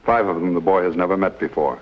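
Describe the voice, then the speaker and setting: A man narrating a 1950s television medical drama in clipped, sober, deliberate phrases. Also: low voice, steady tone, slight background noise, lightly acted. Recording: muffled vintage TV soundtrack with music.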